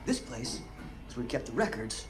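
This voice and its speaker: Game casting: man playing a paranoid American ex-lawyer, middle-aged, rambling, profane, wildly animated in pitch. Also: brisk, soft background music, lightly acted. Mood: conspiratorial, intense. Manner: rambling, excitable, sweary, emphatic. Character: manic, foul-mouthed American conspiracy theorist and ex-lawyer. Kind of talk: video game cutscene acting.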